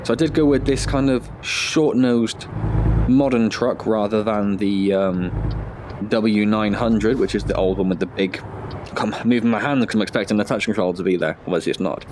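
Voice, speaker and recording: A man's voice: lowish voice, moderate pace, background noise. A man with a slight head cold casually thinking aloud as he plays a driving game, hosting his own gaming channel. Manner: chatty, thinking aloud, self-deprecating asides. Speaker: gaming video host. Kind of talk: casual let's-play commentary.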